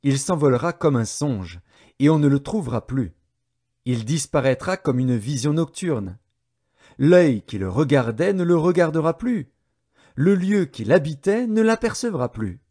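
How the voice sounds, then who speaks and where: Higher-voiced man solemnly reading scripture, softly mellow. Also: sing-song, unhurried. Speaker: audio Bible narrator.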